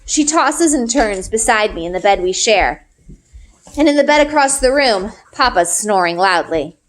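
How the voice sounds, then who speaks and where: A woman's voice: fairly high pitch, expressive, moderate pace, a little hiss or hum, poor audio. A woman reading a story aloud expressively, as a teacher would, acting out the dialogue.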